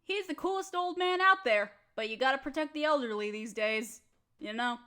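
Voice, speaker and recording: A woman's voice: high-pitched, animated, normal speed, fairly bright tone, smooth. A woman acting a bored, chatty young roommate in a casual audio roleplay, talking in a slangy, dramatic, playfully complaining way.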